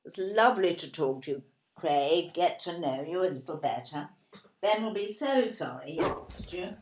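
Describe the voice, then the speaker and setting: An imperious, snobbish older British woman in an audio drama, played by an actress. Her low-ish voice swoops widely as she fusses and disparages in genteel phrases. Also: expressive, normal speed, a little mellow, slight background noise, close to an everyday voice. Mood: gracious, polite. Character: imperious, snobbish, self-absorbed older wife and mother. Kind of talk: audio play acting.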